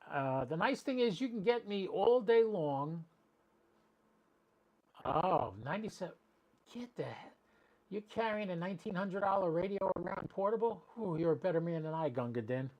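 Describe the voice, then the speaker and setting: A man's voice: sits high, lively intonation, moderate pace, pauses often, muffled recording, a little hiss or hum. A man chatting casually and rambling as he hosts an amateur radio livestream, talking directly to his viewers.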